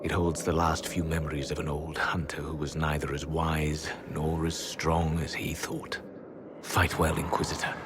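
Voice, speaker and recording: An actor playing a weary, solemn old elven hunter-mage in a video game, speaking in a grave, measured, formal way. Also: male voice, extremely low pitch, little pitch movement, background noise. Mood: weary, resigned. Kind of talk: dramatic video game character acting.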